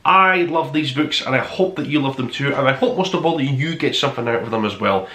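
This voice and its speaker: Male review-show host, bright forceful voice, gushing and emphatic, recorded in a roomy space. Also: mid-range voice, a little fast. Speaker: host of a book review podcast. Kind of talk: casual review to camera, top five countdown. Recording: roomy, echoey indoor sound.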